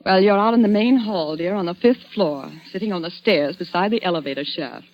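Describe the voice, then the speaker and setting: A woman's voice: on the low side, fairly quick, close to an everyday voice. Sarcastic young society woman played by an actress, arch and disapproving, vintage radio drama, muffled band-limited sound. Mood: scornful. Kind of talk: old-time radio mystery acting.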